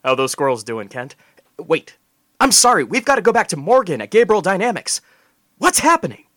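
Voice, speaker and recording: An actor playing an animated male news anchor. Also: high-pitched, a little fast, no echo, studio quality.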